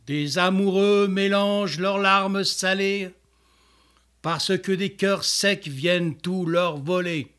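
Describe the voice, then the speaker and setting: A man reciting his own poem in a solemn, declamatory way with measured phrasing. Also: sits high, fairly bright tone.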